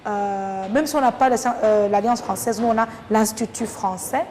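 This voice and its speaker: A woman from Rwanda, a teacher talking thoughtfully in an interview with many pauses and fillers. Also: mid-range voice, darkish tone. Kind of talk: casual interview talk. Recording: reverberant room with faint noise.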